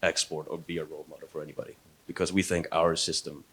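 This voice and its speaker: A man's voice: rumbling low, little pitch movement, quick pace, fairly bright tone, slightly rough. A man from Iceland, giving a wry, somewhat hesitant unscripted answer as a panelist.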